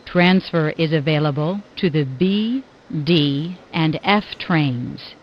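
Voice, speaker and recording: A woman's voice: low-pitched, slow pace, muffled recording, slight background noise. A woman reading scripted subway announcements in formal, clipped phrases that sound pieced together.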